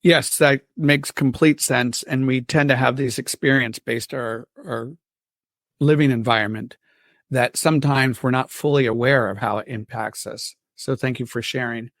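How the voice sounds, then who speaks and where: A man's voice: mid-range voice, steady tone, moderate pace, a bit bright, no echo, studio quality. Polite male podcast interviewer. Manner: calls on guests by name, polite and thanking. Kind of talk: interview questioning.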